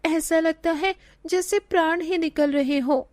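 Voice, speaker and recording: A woman's voice: extremely high pitch, steady tone, measured pace, a little mellow, no room sound, clean studio sound, voice pushed up. A woman voicing a meek, ailing young village wife in a cartoon story, with weak, pleading delivery. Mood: weak, suffering. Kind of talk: cartoon moral story acting.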